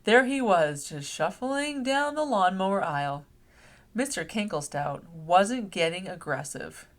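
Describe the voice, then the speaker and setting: Animated woman reading a children's story. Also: mid-range voice, measured pace. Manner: expressive storytelling addressed to young children. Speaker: read-aloud host. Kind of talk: children's picture book read-aloud. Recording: clear background noise.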